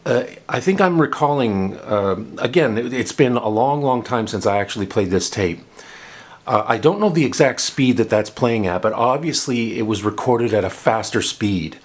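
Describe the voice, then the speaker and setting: A man's voice: medium pitch, animated, moderate pace. A man hosting a gadget review, talking casually and unscripted, explaining things and often backtracking or repeating himself. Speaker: host of a gadget review channel. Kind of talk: casual, unscripted product demonstration.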